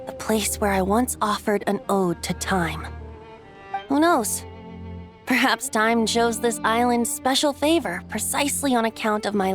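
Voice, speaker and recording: A woman voicing a young male wind god and bard for a video game, gentle and playful, with theatrical, poetic, lilting phrasing. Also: medium pitch, close to an everyday voice. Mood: musing, playful. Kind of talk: video game character acting. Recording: music behind the voice.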